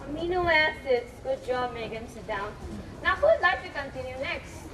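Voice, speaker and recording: A woman's voice: high voice, sing-song, moderate pace, recorded from a distance. A young woman in an amateur stage play acting a patient but exasperated schoolteacher, speaking in an instructive way. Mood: encouraging. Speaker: student actor in a school play. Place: echoey hall, distant microphone. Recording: echoey, noisy stage recording from afar.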